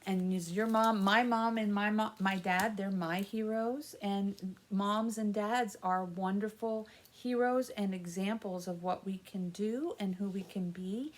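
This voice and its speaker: A woman's voice: mid-range voice, fairly even intonation, a little slow, a little hiss or hum. A woman hosting a children's story time, explaining things in simple, encouraging words addressed to young kids. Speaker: library story time host.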